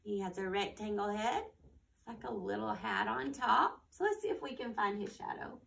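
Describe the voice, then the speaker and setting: A woman's voice: mid-range voice, moderate pace, vocal fry, fairly bright tone, distant mic. A woman hosting a storytime for young children, reading aloud and talking to them in simple phrases and questions. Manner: simple instructions and questions addressed to small children. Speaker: children's library storytime host.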